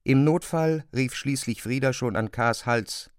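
A man narrating a literary classic as scripted audio drama, in a measured, precise manner. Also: mid-range voice, no echo, clean studio sound.

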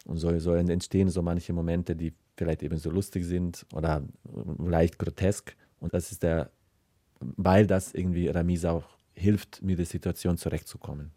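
A writer gives a reflective interview in a deep, very mellow male voice. He gropes for words and doubles back on them, recorded dry and close. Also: flat delivery, normal speed, dry recording. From former Yugoslavia. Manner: hesitant, searching for words, repeats words.

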